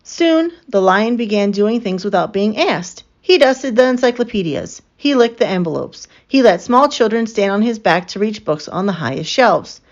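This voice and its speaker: A woman reading a picture book aloud as an expressive storyteller for children. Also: medium pitch, normal speed, few pauses. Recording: slightly dull, lo-fi sound.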